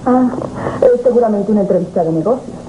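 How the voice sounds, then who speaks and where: Actress playing guarded young fiancee, curt, 70s soap, noisy old tape. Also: female voice, medium pitch, fast talker, narrow, tinny audio, lightly acted. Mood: guarded, piqued. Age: young adult. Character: proud, guarded young fiancée of an important man. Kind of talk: 1970s telenovela acting.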